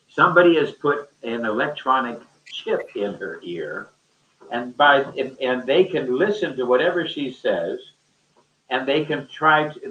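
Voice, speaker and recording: A man telling a rambling, conversational story in a halting, emphatic way, often repeating his phrases. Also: mid-range voice, a bit bright. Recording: roomy echo, distant mic, muffled sound.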